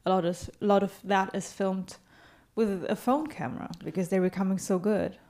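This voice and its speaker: A woman's voice: medium pitch, steady tone, moderate pace, darkish tone. A woman from Germany with a German accent, co-hosting a podcast in casual chat and laughing often. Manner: giggly, laughs often between remarks. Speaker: podcast co-host.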